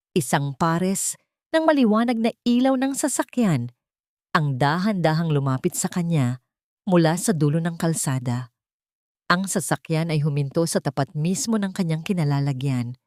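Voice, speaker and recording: A woman with a Filipino accent narrating a scripted dramatic story in a deliberate, emotive way. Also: low-pitched, a little mellow, dry recording, clean studio sound.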